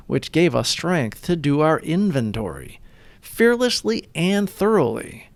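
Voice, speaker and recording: A man reading a scripted recovery meditation aloud in an earnest manner, with deliberate, measured phrasing. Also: on the high side, creaky, no echo. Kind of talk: scripted devotional read-aloud.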